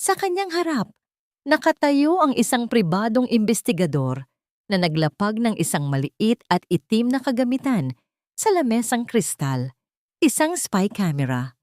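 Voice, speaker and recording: A woman narrating a dramatic audio story in a steady, solemn manner. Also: on the high side, normal speed, no echo, studio quality.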